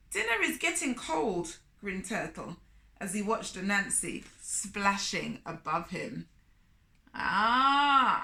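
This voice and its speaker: A woman's voice: fairly high pitch, bright, forceful tone. Lady reading kids a story, takes her time, asks lil questions, echoey room. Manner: exclamatory, asks the listener questions. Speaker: children's storyteller. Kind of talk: expressive storytelling for young children.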